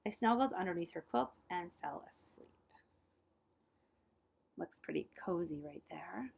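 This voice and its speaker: A woman reading a children's picture book aloud in an expressive, dramatized way, dropping to hushed phrase endings and adding short asides. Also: lowish voice, unhurried, vocal fry, husky.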